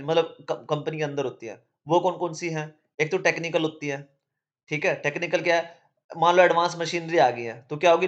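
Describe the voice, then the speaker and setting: A man's voice: on the high side, dull audio. A male teacher with a sore throat, explaining economics to students in a casual way, often checking in with "theek hai". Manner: casual, repeats "theek hai" between points.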